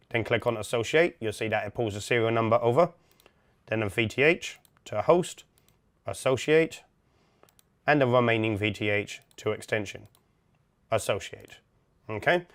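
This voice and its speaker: Male tutorial presenter, methodical and informal, explains each step plainly. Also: mid-range voice. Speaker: instructor, product demonstrator. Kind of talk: software setup tutorial, step-by-step walkthrough.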